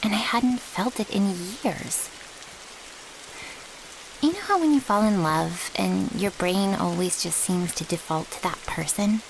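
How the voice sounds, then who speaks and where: A woman's voice: mid-range voice, creaky, poor audio. A woman playing a cheeky, loyal vampire's servant in an intimate ASMR audio roleplay, with a casual, teasing way of talking. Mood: tender. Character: cheeky, flirty, loyal female thrall of a vampire. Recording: hissy, rain-like background noise.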